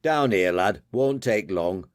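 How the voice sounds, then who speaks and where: A man's voice: on the low side, animated, takes it slowly, dry recording, clean studio sound. A man voicing a blustering, rambling old man who is playing host in an audiobook. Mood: insistent. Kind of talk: audiobook character dialogue.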